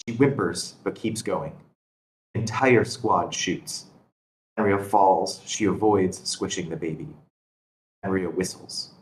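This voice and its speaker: A very low-voiced man reads stage directions from a script. The room rings around his voice, and a faint noise sits in the recording. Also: echoey room, rough recording. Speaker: reader of screenplay action lines. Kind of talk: scripted narration at a table read.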